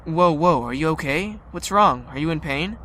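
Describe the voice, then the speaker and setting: A woman's voice: low-pitched, expressive, normal speed, a bit bright, slight background noise. A woman voicing a protective, concerned young male survivor in an audio drama.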